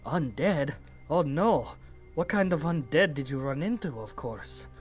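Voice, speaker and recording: A man's voice: on the high side, animated, unhurried, faint music behind. A man playing a courteous, theatrical adventurer with feigned innocence in a tabletop game. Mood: mock alarm. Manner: florid, theatrical, polite.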